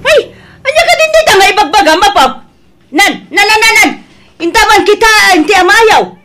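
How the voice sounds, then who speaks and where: A woman playing an emotional, stubborn elderly mother and grandmother in an Ilocano audio drama, with a Filipino accent and drawn-out, emphatic delivery. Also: extremely high pitch, animated, over loud music. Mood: grieving. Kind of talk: melodramatic radio drama acting.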